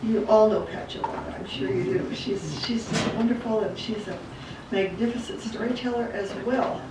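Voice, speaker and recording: A woman's voice: medium pitch, moderate pace, very noisy. An older woman acting as an event host, informally directing guests and making introductions. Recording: roomy distant mic under loud music.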